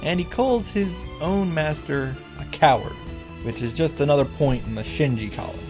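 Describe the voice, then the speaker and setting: A man's voice: fairly high pitch, normal speed. A man delivering a scripted comedic recap commentary in a wry, profane, mock-grandiose manner. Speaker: visual novel reactor and narrator. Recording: background music under the voice.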